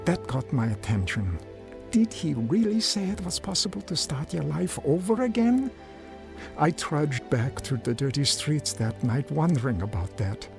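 An actor playing a sincere, humble homeless man with a creaky voice, telling his story in a radio drama. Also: on the high side, sing-song, moderate pace. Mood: reflective. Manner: sincere, reflective. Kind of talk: radio drama first-person narration and dialogue. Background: music.

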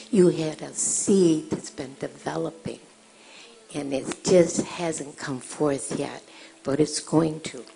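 A woman in the congregation with a low voice, soft-spoken and halting as she gives a prophetic word and prays. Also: gravelly, low-quality recording. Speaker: congregation member.

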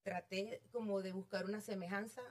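A middle-aged woman with a Venezuelan accent, a real estate agent guest telling her story in an animated, self-amused way. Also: mid-range voice, moderate pace. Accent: Venezuelan Spanish. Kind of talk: casual interview chat.

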